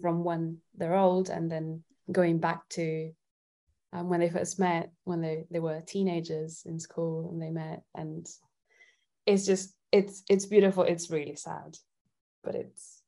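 A woman from Italy chats casually as an interview guest. Her voice is mellow and a little low, and she talks slowly. She often hesitates, thinking aloud as she goes. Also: flat delivery. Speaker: guest singer-songwriter.

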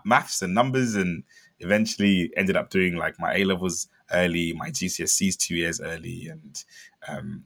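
A young man from Zambia, a company founder telling his story in a chatty interview, with lots of fillers and bursts of excitement. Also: low voice, vocal fry. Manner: chatty, many fillers, animated when excited. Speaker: startup founder guest.

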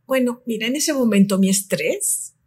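A woman, speaking as a guest in a relaxed conversation and telling personal anecdotes. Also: fairly high pitch, normal speed, husky. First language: Spanish. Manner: reflective, tells anecdotes. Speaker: guest expert. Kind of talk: casual conversation.

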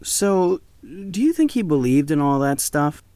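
An actor playing an earnest, gently teasing American film student in his early twenties, talking casually in an audio drama. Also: on the high side, sing-song, a little slow, clean tone, no echo. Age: early 20s.

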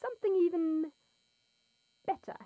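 A woman's voice: very high-pitched, a little slow, no echo, lo-fi sound. An actress playing a patient, slightly hesitant woman who talks someone through a task step by step. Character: calm, hesitant woman giving instructions.

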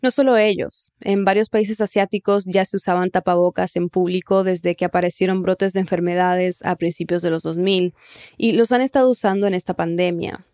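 A woman's voice: on the low side, steady tone, moderate pace, darkish tone, dry recording. A woman hosting a narrative news podcast, reading scripted narration and putting questions on a close mic. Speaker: podcast host.

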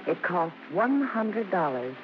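A woman narrator recalls family life in a radio drama. Her delivery is wry and fond, with a lively, rising and falling lilt. The vintage recording is dull and clearly noisy. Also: lowish voice, slow. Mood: fond, amused. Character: daughter fondly narrating childhood memories of her showbiz parents.

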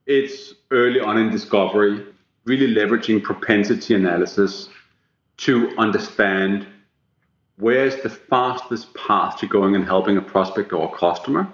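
A Danish-born man speaks as an executive guest, his voice sitting fairly low. He leans into key words with earnest emphasis. The sound comes through an echoey, muffled, lo-fi line. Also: fairly bright tone. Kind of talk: business podcast interview.

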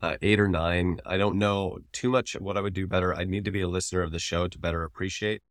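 Man, very low voice, dry close sound, measured thoughtful podcast co-host, casual reviewing tone. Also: fairly even intonation, no echo. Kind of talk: casual discussion and review of ads.

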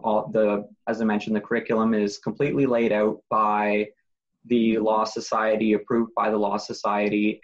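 A man, a paralegal guest on a podcast, explaining his profession methodically with frequent pauses and fillers. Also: on the low side, muffled recording. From Canada. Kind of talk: interview answers.

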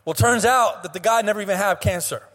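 A slightly hoarse young man preaching a sermon as pastor. He speaks with passion, stretches and half-sings phrases, and often restarts his words. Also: high-pitched, fairly bright tone, in a large hall. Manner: impassioned preaching with sung, drawn-out phrases and frequent restarts. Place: church sanctuary.

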